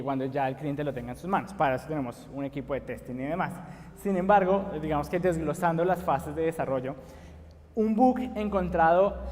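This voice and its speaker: A man's voice: on the high side, darkish tone. Colombian man speaking in a reverberant hall. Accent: Bogotá Colombian Spanish. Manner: hesitant, many "eh" fillers. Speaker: conference speaker. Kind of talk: conference talk. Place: conference hall. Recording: long reverb of a conference hall.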